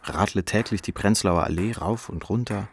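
An actor playing a despairing, sardonic narrator living through depression, speaking an introspective literary monologue in clipped fragments for a radio play. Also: male voice, lowish voice, moderate pace, a little hiss or hum, lightly acted. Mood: reflective. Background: music.

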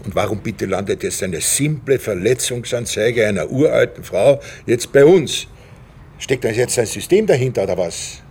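Grumbling cop, radio drama: husky, fry-laden voice, sardonic and questioning. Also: male voice, on the low side, lively intonation, moderate pace, a little mellow. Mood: irritated, sardonic. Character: grumbling, harried male police detective.

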